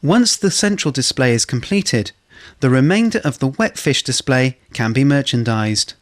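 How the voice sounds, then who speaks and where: A man reading scripted instructional narration for a corporate training film, in a measured way. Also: mid-range voice, animated.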